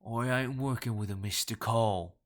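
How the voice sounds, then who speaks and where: Sly, insistent Cockney smoker, performed by a male narrator, hoarse and nasal, slow-talking, for an audio horror tale. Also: medium pitch, lightly acted. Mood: curt, defiant. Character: gruff hard-drinking London man, sly and insistent.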